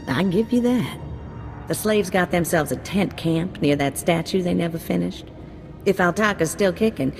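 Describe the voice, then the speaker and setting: A folksy, drawling bounty handler. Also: female voice, lowish voice, darkish tone. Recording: game audio with music.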